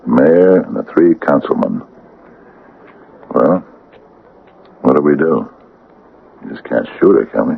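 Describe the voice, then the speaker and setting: A reluctant small-town conspirator, a man with an extremely low, creaky voice. He questions everything in halting, uneasy phrases, heard on a thin, noisy radio recording. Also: lively intonation, heavily acted. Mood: uneasy, doubtful. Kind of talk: old-time radio drama acting. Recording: tinny, noisy 1980 radio broadcast recording.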